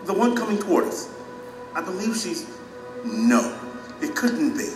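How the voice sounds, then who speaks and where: An actor playing a proud former mayor in an audio drama, bewildered, hesitant and earnestly questioning himself. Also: male voice, sits high, sing-song, unhurried, far from the mic. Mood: astonished. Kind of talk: allegorical audio drama acting. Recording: echoey hall, music behind, off mic.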